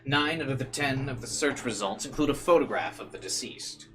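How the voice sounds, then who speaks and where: A man's voice: medium pitch, brisk, a bit bright, a little hiss or hum. A man reading visual novel narration aloud as a streamer, dropping into informal side comments and occasionally stumbling over words.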